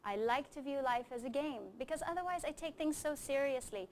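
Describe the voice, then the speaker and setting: A woman's voice: high voice, brisk, a little hiss or hum. A middle-aged woman who grew up in Japan, speaking as a coach and interview guest in an earnest, emphatic, explanatory way. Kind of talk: conversational interview answers with anecdotes.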